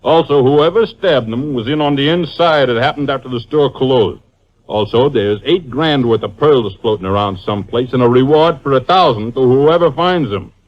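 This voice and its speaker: Man as gruff radio cop: blunt, sardonic, deadpan, medium pitch, muffled vintage sound. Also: normal speed, close to an everyday voice. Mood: gruff, accusing. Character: gruff, sardonic male police sergeant. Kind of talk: 1950s radio detective drama acting.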